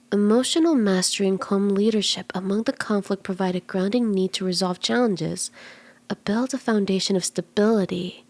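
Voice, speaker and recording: A woman voicing a flirty, playful kitsune fortune teller in a soft, teasing, reassuring ASMR roleplay, slipping into breathy whispers in places. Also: mid-range voice. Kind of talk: ASMR roleplay, soft intimate tarot reading.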